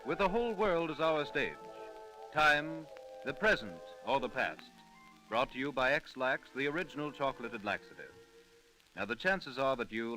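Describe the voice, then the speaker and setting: A man announcing a scripted sponsor message on old-time radio, with emphatic, measured salesman phrasing. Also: mid-range voice, pushed and bright, rough recording.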